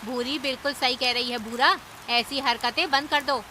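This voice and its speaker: A woman's voice: high-pitched, steady tone, moderate pace, pushed and bright. A woman voicing a reasoning, admonishing female forest animal in a Hindi cartoon. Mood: reproachful. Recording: clear rain noise behind.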